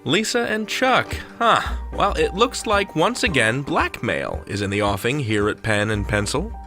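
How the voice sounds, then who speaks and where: A man's voice: medium pitch, big pitch swings, a little slow, bright, forceful tone. A man hosting with playful scripted narration, theatrical and tongue-in-cheek in delivery. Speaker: podcast host and narrator. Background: faint music.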